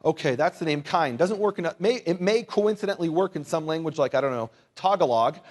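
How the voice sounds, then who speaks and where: Higher-pitched man teaching informally. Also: lively intonation, a little fast. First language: English. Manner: conversational, wry humour, rhetorical questions, asks listeners to repeat words. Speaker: Bible teacher and lecturer. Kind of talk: informal teaching talk to a live audience.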